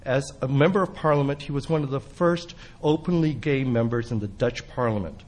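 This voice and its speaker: A man's voice: mid-range voice, a little fast, a little mellow. Man giving a formal speaker introduction. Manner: formal, reads a prepared introduction. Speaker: event host.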